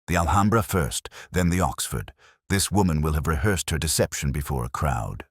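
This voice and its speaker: A man voicing a brilliant, intense gentleman detective in an audiobook, speaking in a deliberate, theatrical and incisive way. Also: extremely low pitch, lively intonation, slightly rough, no room sound, clean studio sound, full character voice. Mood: urgent, commanding.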